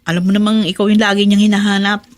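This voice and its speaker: An actress playing a dutiful, reproachful elder sister in a radio drama, earnest and chiding in manner. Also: female voice, medium pitch, flat delivery, quick pace, natural voice.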